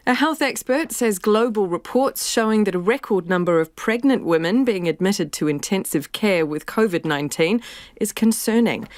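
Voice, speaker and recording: A woman reading the evening news bulletin in a measured, formal way. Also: medium pitch, no echo, clean studio sound. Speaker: newsreader.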